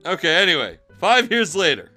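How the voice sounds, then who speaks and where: Guy reading fanfic on stream, super animated, sharp forceful voice, keeps slipping in silly asides. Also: sits high, a little fast. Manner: theatrical delivery, breaks into giggles and asides. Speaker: streamer reading fan fiction aloud. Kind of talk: dramatic, mocking story reading on a casual stream. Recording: music behind the voice. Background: music.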